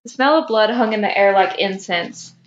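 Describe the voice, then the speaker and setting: A woman's voice: medium pitch, moderate pace, highs cut off. A woman co-hosting a podcast, reading a story aloud and now and then stumbling over a word. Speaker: podcast co-host. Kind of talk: reading fan fiction aloud.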